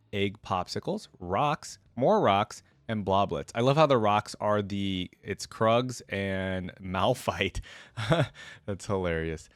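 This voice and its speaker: A man with a fairly low voice hosts a casual reaction video. He reads wiki-style text aloud and slips in easygoing comments of his own. The sound is dry and close to the mic. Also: no echo. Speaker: host of a game lore reaction channel.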